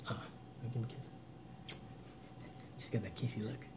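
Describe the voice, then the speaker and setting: A man in his late thirties giving earnest, preachy relationship advice on a live vlog, swinging between loud emphasis and low murmurs. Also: steady tone, measured pace, slight background noise. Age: 30s. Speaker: vlogger. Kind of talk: live-stream advice chat.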